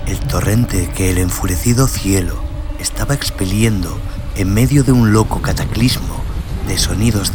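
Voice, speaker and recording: Low deep guy reading a horror story, solemn and dramatic, music behind him. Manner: solemn, theatrical delivery. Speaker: host and narrator of a horror audio story show. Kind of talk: dramatic scripted horror narration.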